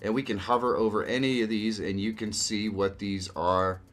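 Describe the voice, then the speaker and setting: Low-pitched man giving a casual tutorial. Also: steady tone, moderate pace, fairly bright tone. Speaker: video tutorial host. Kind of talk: casual screen-share software walkthrough. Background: background music.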